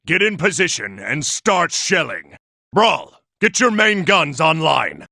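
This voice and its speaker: A giant war robot from a video game, a male military tactician used to command. The voice is heavily gravelly and rasping, with a hard, cutting edge. He is impatient and forceful, and his pitch moves widely. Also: big pitch swings, takes it slowly, no room sound, clean studio sound, heavily acted. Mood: commanding, tense. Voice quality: gravelly, raspy. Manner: forceful, barking orders. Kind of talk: video game character acting.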